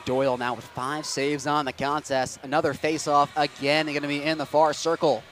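A man's voice: a little high, soft background music. Young man calling hockey play-by-play. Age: young adult. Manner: rapid, steady calling that spikes into excited shouting on goals. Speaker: play-by-play announcer.

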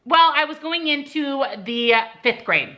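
Bright-voiced woman in her forties chatting. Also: high voice, lively intonation, a little slow, dull audio. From United States. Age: 40s. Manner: friendly and talkative while applying makeup. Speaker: YouTuber and real estate agent. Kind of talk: casual chatty makeup tutorial and Q&A. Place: home kitchen indoors. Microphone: camera on a tripod close in front.

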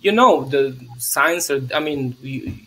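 A man from Serbia, in his thirties, speaks over a video call from a small room at home. His voice sits a bit high for a man, and he wanders through his thoughts with restarts and small laughs. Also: expressive, slow, fairly bright tone, rough recording. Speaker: guest, theatre artist. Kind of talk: casual conversation. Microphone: video call webcam.

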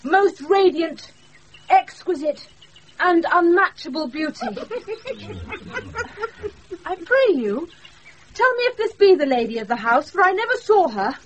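A British actress playing a quick-witted young woman disguised as a saucy page boy in a Shakespeare radio play, recorded off a wireless. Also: extremely high pitch, animated, low-quality recording. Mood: playful, flattering. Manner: saucy, theatrical, breaking into laughter.

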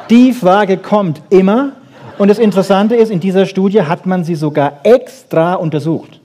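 A man giving a popular science lecture with wry humour, rhetorical questions and short checking tags, in a husky voice. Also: high-pitched, dark tone. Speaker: lecturer on brain research.

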